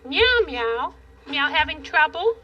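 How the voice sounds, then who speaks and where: A woman voicing a gentle female pussycat puppet in a children's show, soft and small, meowing between words. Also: high voice, big pitch swings, slow, recorded from a distance, dull audio, exaggerated voice. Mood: gentle, earnest. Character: gentle female pussycat puppet who peppers speech with meows. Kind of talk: children's television puppet play.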